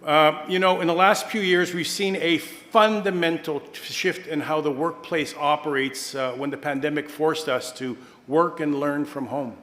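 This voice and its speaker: A middle-aged man from Kenya moderating a conference panel, formal and measured, with frequent fillers and self-corrections. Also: on the high side, fairly even intonation, a bit bright. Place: large conference hall. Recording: long hall reverb.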